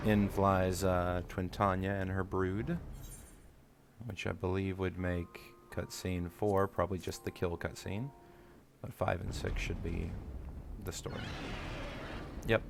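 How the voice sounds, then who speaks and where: A man's voice: deep voice, level tone, slow pace, creaky, slight background noise. A man giving casual game commentary as he presents story cutscenes.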